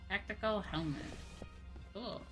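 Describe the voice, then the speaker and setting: A woman's voice: medium pitch, measured pace. A woman doing casual, playful, sweary gameplay commentary as a streamer, often reading item names aloud, on a close microphone. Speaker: VTuber game streamer. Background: game music.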